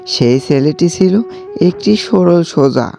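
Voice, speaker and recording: A man's voice: a little high, animated, slow pace, gravelly, warm tone. A man narrating a scripted children's story. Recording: dull audio, faint music.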